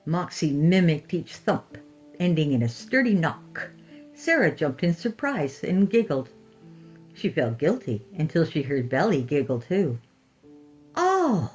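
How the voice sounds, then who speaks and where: Female horror narrator, low register and unhurried, expressive scripted read over a light music bed. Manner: deliberate, expressive storytelling.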